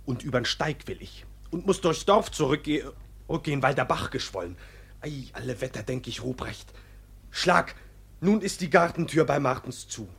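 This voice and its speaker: Husky, blunt young peasant lad. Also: male voice, medium pitch, animated, normal speed, exaggerated voice. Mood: earnest recounting. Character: indignant, plain-spoken young peasant lad accused of wrongdoing. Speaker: actor as defendant. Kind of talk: classic German radio play, storytelling testimony.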